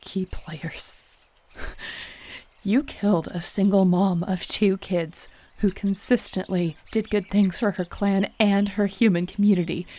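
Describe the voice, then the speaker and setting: An actress playing a defiant, principled young shapeshifter heroine in an audio drama, speaking with earnest, firm indignation. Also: female voice, medium pitch, darkish tone. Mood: hurt, accusing. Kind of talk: dramatic audio roleplay acting.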